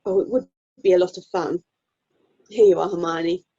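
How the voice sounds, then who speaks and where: Casting: actress as sensible, kindly young mum for radio comedy, soft dark tone, chatty, slightly muffled recording. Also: female voice, fairly low pitch, normal speed, slightly rough. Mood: friendly, chatty. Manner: chatty, gently teasing. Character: friendly, sensible young mother selling raffle tickets. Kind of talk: amateur radio play acting. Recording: dull, lo-fi video call sound.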